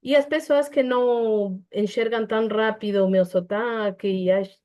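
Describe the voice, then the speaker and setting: Mellow Peruvian woman speaking reflectively. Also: fairly high pitch, fairly even intonation, normal speed. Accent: Peruvian Spanish. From Peru. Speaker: psychologist and panel speaker. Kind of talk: personal testimony in an online panel discussion.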